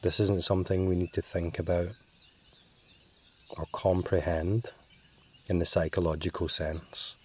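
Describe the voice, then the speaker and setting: Slow, low-voiced man guiding meditation. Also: slight background noise. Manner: calm, measured, with long pauses. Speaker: meditation guide.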